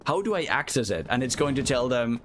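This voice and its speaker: A man's voice: mid-range voice, sing-song, brisk. Chatty male streamer. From Finland. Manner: chatty, apologetic, thinks aloud. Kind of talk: live gameplay commentary, reading chat aloud.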